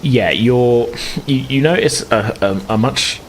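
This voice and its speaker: A man's voice: medium pitch, a little slow, poor audio. A man running a tabletop game as game master, improvising descriptions and often groping for words. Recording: clear background noise.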